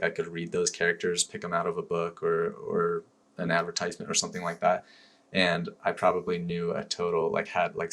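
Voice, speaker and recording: A man talking casually to camera as a language-learning vlogger, relaxed and rambling, with frequent fillers and restarts. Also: low voice. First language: English. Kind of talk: casual review talk to camera.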